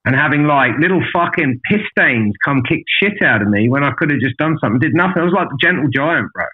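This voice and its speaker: A man's voice: fairly high pitch, animated, quick pace, breathy edge, phone-quality sound. A man telling his story as a podcast guest in a casual, candid, blunt way, with lots of swearing and matey address. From an island outside Australia.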